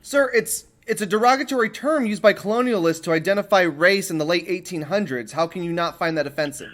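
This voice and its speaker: A man with a high-pitched voice hosts a comedic podcast interview. He talks informally and haltingly, sounding put-upon and exasperated. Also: fairly bright tone. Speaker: podcast host.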